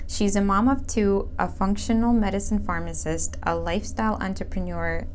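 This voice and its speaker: A woman's voice: mid-range voice, steady tone, moderate pace, a little hiss or hum. A woman hosting a podcast, reading an enthusiastic intro and then asking questions. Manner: upbeat, enthusiastic. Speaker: podcast host. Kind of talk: scripted intro then interview.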